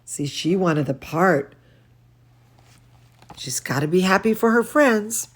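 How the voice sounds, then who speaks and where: A woman reading a bedtime storybook aloud to children, expressive and cooing, speaking as if to very young listeners. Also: fairly low pitch, takes it slowly. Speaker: children's storyteller, dance studio teacher.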